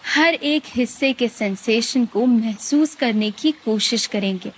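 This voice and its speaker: A woman guides a meditation, giving calm, gentle instructions with long pauses. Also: a little high, a little hiss or hum.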